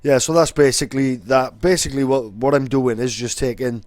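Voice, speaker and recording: A man's voice: medium pitch, steady tone, fairly quick, a little mellow, dry recording. Rambling, sweary young Welsh man. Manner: rambling, sweary, filler-heavy. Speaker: vlogger. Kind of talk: casual explainer.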